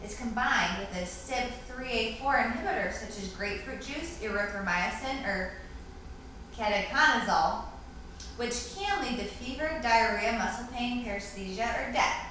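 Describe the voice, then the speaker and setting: A woman's voice: a little high, normal speed, pushed and bright. A woman in the role of a student, giving scripted answers in a formal, careful recital of definitions. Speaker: student answering quiz questions. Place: reverberant indoor room, distant microphone. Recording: very echoey room, far mic, faint hiss.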